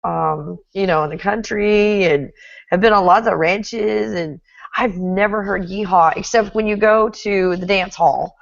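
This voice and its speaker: A woman's voice: medium pitch, unhurried, gravelly, dry recording, highs cut off. A middle-aged woman from Texas with a Texan accent, hosting a casual interview, chatty and often laughing. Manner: chatty, laughs often, reads viewer comments aloud. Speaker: host.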